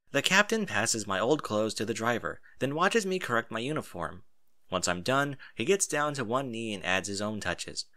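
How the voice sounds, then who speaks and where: A man narrating a first-person story aloud in an expressive, animated way. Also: mid-range voice, no echo, studio quality. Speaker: audiobook narrator.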